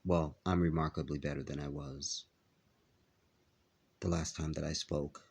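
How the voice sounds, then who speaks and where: A man talking to his viewers in a halting vlog, with a nasal, congested voice as he recovers from an illness. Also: extremely low pitch, steady tone, creaky, darkish tone, breathy edge. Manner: halting, many filler pauses. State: stuffy, congested after illness. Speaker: vlogger. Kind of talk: casual vlog talk to viewers.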